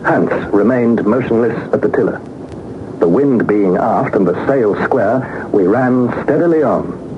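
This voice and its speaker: An actor playing an earnest young man who narrates his expedition in measured, literary style for a 1960s radio drama. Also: mid-range voice, lively intonation, natural voice. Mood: solemn wonder. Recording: noisy phone-like old 1960s radio recording.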